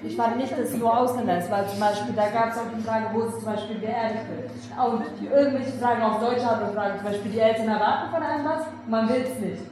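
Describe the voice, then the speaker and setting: Zögernde junge Frau in hallendem Raum. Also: female voice, fairly high pitch, fast talker, hardly any pauses. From Germany. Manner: hesitant, searching for words. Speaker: youth jury member. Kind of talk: casual spoken review. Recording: roomy echo, faint noise.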